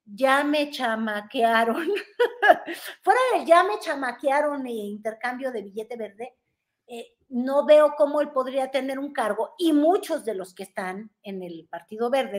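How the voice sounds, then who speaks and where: A Mexican woman with a high, bright-sitting voice talks politics in a playful, teasing way. She is in her thirties and joins by video call from a room at home, gesturing as she speaks. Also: lively intonation, a little slow. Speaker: guest commentator. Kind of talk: casual political chat.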